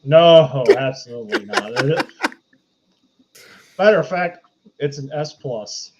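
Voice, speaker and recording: A man's voice: a little high, expressive, slow pace, background noise. A man on a podcast panel giving casual movie opinions through a slightly dull, lo-fi microphone.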